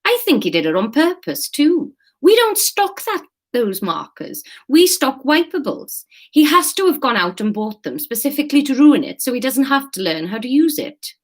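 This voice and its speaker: Woman plays cheeky male student, eighteen, storybook character: mock Spanish accent, drawn-out, animated. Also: high voice, voice pushed up. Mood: exasperated.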